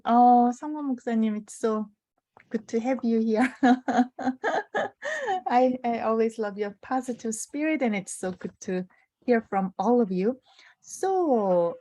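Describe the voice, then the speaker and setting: A woman opens a webinar lecture at an unhurried pace. Her manner is gentle and measured, with small fillers as she sets up her screen and topic. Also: mid-range voice. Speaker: webinar presenter.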